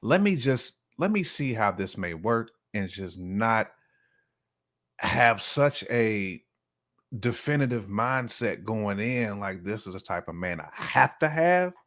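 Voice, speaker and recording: A man's voice: fairly low pitch, very animated, takes it slowly, a bit bright. A man chatting casually and slangily, often acting out imagined quotes as he gives relationship opinions. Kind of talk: casual conversational chat.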